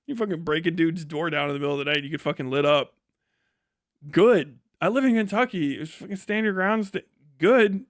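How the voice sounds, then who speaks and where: Male American streamer, higher register, dry sound; sweary, opinionated, off-the-cuff commentary. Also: a little fast, no echo. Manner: blunt, opinionated, sweary ranting. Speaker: livestream host. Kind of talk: casual, profane livestream commentary.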